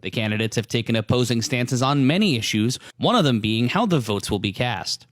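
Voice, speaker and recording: Man as a deadpan news anchor, lively pitch. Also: brisk, noisy background, natural voice. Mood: formal. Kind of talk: scripted parody news narration.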